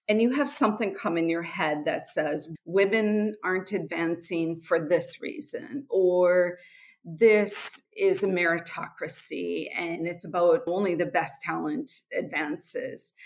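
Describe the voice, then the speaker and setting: A middle-aged woman with an American accent, answering interview questions in an earnest, explanatory way. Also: fairly low pitch. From Switzerland and USA. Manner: earnest, explanatory, occasional laughs. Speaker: guest, nonprofit executive director.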